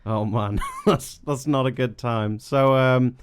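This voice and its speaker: A man's voice: medium pitch, slow, clean tone. A young man hosting a live local radio show, chatty and self-deprecating, laughing easily with his guest. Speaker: radio presenter. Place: radio studio. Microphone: studio microphone.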